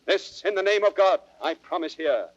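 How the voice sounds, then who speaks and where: A bold, teasing young prince, declaiming in vintage radio Shakespeare manner. His voice is fairly high and very animated, on a slightly muffled recording. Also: normal speed, no echo. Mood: solemn vow. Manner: theatrical, declamatory. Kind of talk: old radio Shakespeare acting.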